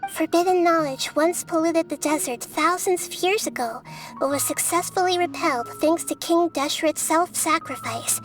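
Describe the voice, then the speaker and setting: A woman voicing a small, wise, gentle girl deity in a video game, speaking in a measured, thoughtful, formal way. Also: sits high, lightly acted.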